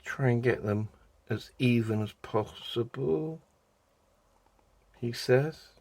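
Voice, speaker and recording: British guy talking slow n chatty over a craft project, voice a bit croaky, dry close sound. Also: mid-range voice, darkish tone, no room sound. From United Kingdom. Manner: unhurried, chatty, talks while doing hands-on craft work. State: croaky voice, clearing throat. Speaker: resin art vlogger. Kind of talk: casual how-to narration while working.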